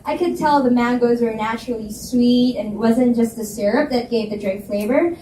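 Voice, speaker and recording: A woman narrating a novel in the first person at a live library reading, lively and expressive. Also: a little high, moderate pace. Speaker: reader and narrator. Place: library event space. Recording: roomy, distant mic with faint hiss.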